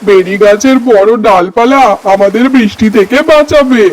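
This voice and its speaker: A woman's voice: a little high, normal speed, heavy background noise, voice pushed down, natural voice. A woman voicing a practical male crow in a cartoon bird fable, speaking in a declamatory, instructing way. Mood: urgent. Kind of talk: cartoon story character voice.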